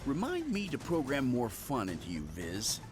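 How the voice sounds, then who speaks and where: A quick-witted superhero inventor from a video game, sure of himself and always wry. His mid-pitched voice swings freely through its range, with music clearly behind it. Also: wildly swooping pitch. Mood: glib. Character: wisecracking, confident male superhero inventor. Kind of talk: video game cutscene acting.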